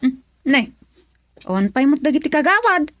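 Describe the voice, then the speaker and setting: A woman's voice: high voice, sing-song, normal speed, a bit bright, no echo, studio quality. A woman with an Ilocano accent playing an aggrieved, complaining wife in a comedic radio drama. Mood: questioning. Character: aggrieved wife bickering at village hearing.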